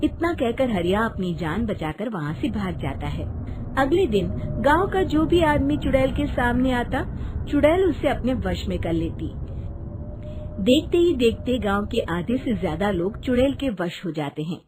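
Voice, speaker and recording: A woman's voice: a little high, very animated, a little slow, background noise. A woman narrating a scripted children's story.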